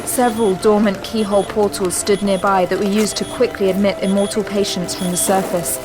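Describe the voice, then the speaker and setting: A woman performing as the solemn, measured narrator of a dramatic sci-fi audio drama. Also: medium pitch, little pitch movement, close to an everyday voice. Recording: loud music and effects behind narration.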